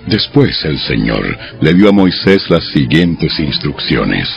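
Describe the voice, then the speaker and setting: A man narrating a dramatized audio Bible in a scripted storytelling style, with a gravelly voice. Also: rumbling low, very animated, slow, highs cut off. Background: music.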